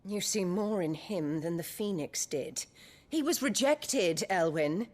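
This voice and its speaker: A woman's voice: fairly low pitch, lively intonation, unhurried, darkish tone. An actress with a British accent playing a cold, scornful middle-aged noblewoman in a video game, with an imperious, clipped delivery. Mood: angry, scornful. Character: cold, haughty, scornful noblewoman, archduke's wife. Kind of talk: video game cinematic acting.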